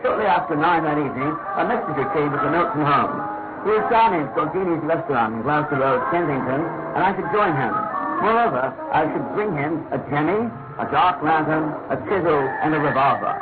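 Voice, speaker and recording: Loyal, proper English sidekick and narrator, male actor: slightly high voice, earnest, classic radio drama over loud music. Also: expressive, brisk, natural voice. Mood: measured narrating. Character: respectable, loyal English gentleman, the detective's cautious companion. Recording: tinny old radio recording, loud music behind.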